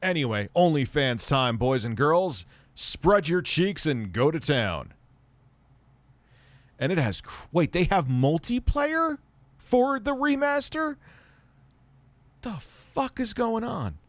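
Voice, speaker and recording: A man's voice: on the high side, sing-song, slow pace, long pauses, dry recording. A man hosting a casual tech livestream, reading viewer comments in a rambling, profane way and laughing often.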